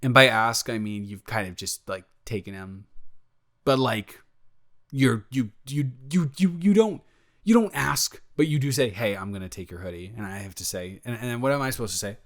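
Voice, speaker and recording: A man's voice: mid-range voice, normal speed, a bit bright, close to an everyday voice. Guy acting out a sheepish male roommate for a roleplay audio, normal mid voice, awkward n rambly, stammers and repeats himself, super casual. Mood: flustered, explaining. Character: sheepish, awkward, good-natured male roommate, secretly smitten.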